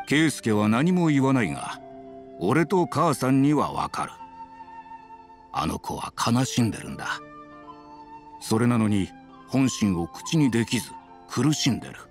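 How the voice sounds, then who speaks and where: A man voicing a gentle, reflective elderly father in an anime game, speaking in a measured, wistful way. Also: medium pitch, sing-song, faint music behind.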